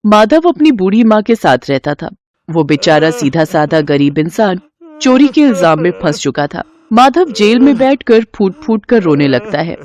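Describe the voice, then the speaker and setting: A woman's voice: lowish voice, very animated, moderate pace, darkish tone. A woman narrating a scripted children's moral story.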